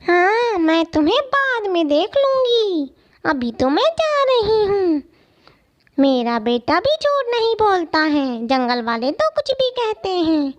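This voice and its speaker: A woman's voice: very high voice, big pitch swings, slow, lo-fi sound, voice pushed up, full character voice. A woman voicing an angry, vengeful mother crow in a children's cartoon story, scolding and accusing. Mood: threatening.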